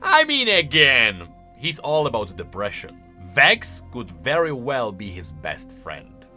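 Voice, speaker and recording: Animated, bright-voiced man doing commentary. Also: mid-range voice, slow, soft background music. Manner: emphatic, conversational. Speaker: video host. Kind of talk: casual explanatory game lore commentary.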